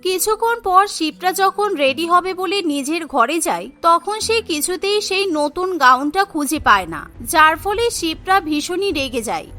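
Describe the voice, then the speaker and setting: A woman giving scripted narration for a cartoon, in a steady storytelling manner. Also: very high voice, measured pace, faint music behind.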